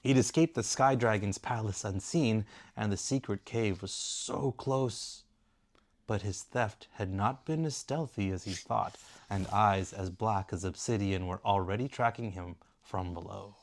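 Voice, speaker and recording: A man's voice: on the low side, measured pace. A man reading a bedtime story aloud in the role of a father.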